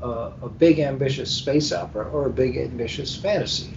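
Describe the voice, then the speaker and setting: A middle-aged or older man speaking as an editor in an informal panel discussion, with deliberate, repetitive phrasing. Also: creaky, distant mic. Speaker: editor, podcast guest. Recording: muffled lo-fi internet call with rumbling noise.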